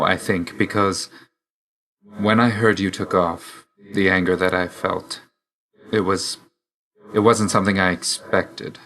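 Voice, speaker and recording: An actor playing a brooding young god of death in a video game, speaking in a reserved, dry, measured and formal way. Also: male voice, low voice, fairly bright tone, exaggerated voice. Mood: guarded, tender. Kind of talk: video game character dialogue.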